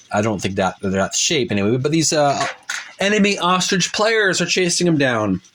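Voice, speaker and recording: A man hosting a hobby show, describing illustrations in a casual, unscripted way, halting and rambling as he searches for words. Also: on the high side, a bit bright, slight background noise. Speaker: host of a retro video game art book show.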